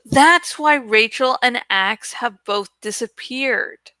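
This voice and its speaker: A woman voicing a frustrated, sharp-tongued and anxious teenage boy in a read-aloud adventure story. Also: fairly high pitch, slow, dry recording, rough recording. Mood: tense.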